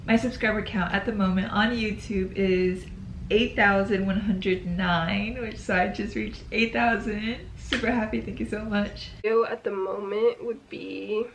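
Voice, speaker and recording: Twenty-something woman vlogging to camera, lots of fry, kinda echoey room, chatty and hesitant. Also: mid-range voice, steady tone, unhurried, slight background noise. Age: 20s. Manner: chatty, hesitant, restarts with ums. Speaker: YouTuber. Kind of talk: casual to-camera yearly check-in.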